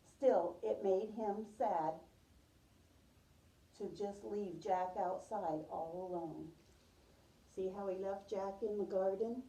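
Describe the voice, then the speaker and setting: A woman teacher reading a picture book aloud to young children, pausing to ask questions and repeating their answers. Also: medium pitch, dark tone. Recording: roomy, distant microphone, muffled top end.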